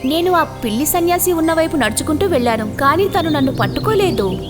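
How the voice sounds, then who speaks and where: A woman's voice: high voice, lively intonation, a little fast. A woman voicing a little cartoon rat, curious, trusting and earnest in a childlike way. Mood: reassured. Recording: clear music behind.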